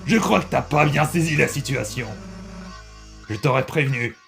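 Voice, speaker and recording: A man voicing a gruff, hot-tempered yet wounded teenage monster girl in dramatic French comic-dub game dialogue, brusque and menacing. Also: medium pitch, normal speed, fairly bright tone, soft background music. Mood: furious, threatening.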